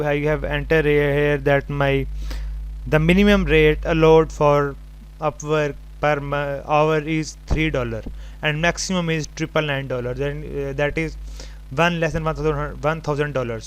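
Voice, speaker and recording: A man presenting an unscripted step-by-step tutorial, haltingly thinking aloud as he types. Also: on the high side, low-quality recording. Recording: home recording with clear background noise.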